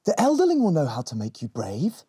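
A bold young storybook elf girl, voiced by a man, brisk and encouraging. The voice is high and lively, urging her companion on. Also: slightly rough, no room sound, clean studio sound, exaggerated voice. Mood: exasperated.

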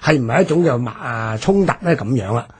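A man with a Hong Kong Cantonese accent explains history off the cuff as a commentator, halting and drawing out his particles. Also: medium pitch, expressive, slightly rough. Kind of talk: unscripted explanatory discussion.

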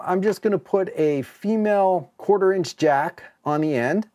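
Middle-aged man, higher-pitched, explaining casually. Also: darkish tone. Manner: conversational, explanatory. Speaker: maker and video host. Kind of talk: casual hands-on demonstration. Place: home studio workshop, indoors.

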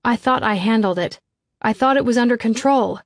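A hardened young woman from a thriller audiobook , acted by a woman narrator. She is defiant and hot-tempered, speaking in sharp, terse lines. The recording is dry. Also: medium pitch, moderate pace, no echo, natural voice. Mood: insistent, eager.

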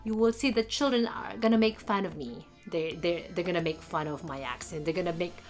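A woman's voice: on the low side, fairly quick, a little mellow, soft background music. A woman in her forties from the Philippines with a strong Filipino accent, chatting casually and rambling to a webcam in her living room. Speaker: vlogger. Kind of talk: casual talk to camera. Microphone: webcam or phone at arm's length.

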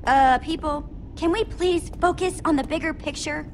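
High-voiced plucky young adventurer. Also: female voice, normal speed, slight background noise. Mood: earnest, urgent. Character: earnest, plucky young female adventurer and leader. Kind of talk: animated video game acting.

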